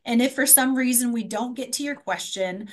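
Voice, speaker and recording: A woman's voice: fairly high pitch, normal speed. A woman moderating a conference panel webinar, reading introductions and housekeeping notes with frequent ums.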